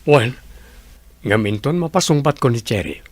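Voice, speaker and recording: A man's voice: mid-range voice, expressive, moderate pace, no room sound, natural voice. A man playing a generous, caring young working suitor in an audio drama. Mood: thoughtful.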